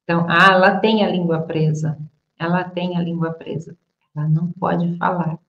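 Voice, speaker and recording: A woman teaching a language lesson online, explaining idioms slowly with repeated examples. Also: fairly low pitch, little pitch movement, reverberant, muffled recording. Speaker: Portuguese teacher.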